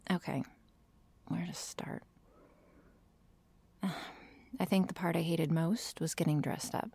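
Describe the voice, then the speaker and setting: A woman playing a prickly, teasing vampire girl who feigns indifference, speaking softly in an intimate ASMR roleplay. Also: fairly low pitch, creaky, breathy edge, dry recording, exaggerated voice. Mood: wistful, reluctant. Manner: sardonic, hesitant, feigning indifference.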